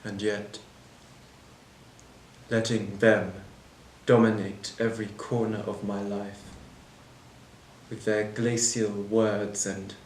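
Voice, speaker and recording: Man acting a slow, bitter manager in monologue. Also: on the low side. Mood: weary disdain. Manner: solemn, deliberate, pausing between short phrases. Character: bitter, disillusioned airport manager defending replacing people with machines. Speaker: actor performing a monologue. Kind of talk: scripted dramatic monologue. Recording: roomy echo, clear background noise.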